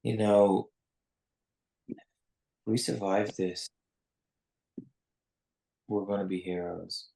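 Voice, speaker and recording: A male actor plays a shaken man taking charge in a screenplay drama. He speaks very slowly, with heavy creak in a mid-pitched voice. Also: fairly even intonation, mellow, full character voice, poor audio. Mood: subdued. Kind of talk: live screenplay table read acting.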